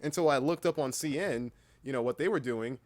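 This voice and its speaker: A man's voice: medium pitch, fairly quick, a little mellow, no echo. A man in his early twenties chatting casually as a livestream host, with a playful, exaggerated way of talking. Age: early 20s. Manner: playful, exaggerated, self-mocking. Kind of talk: casual livestream chat, storytelling.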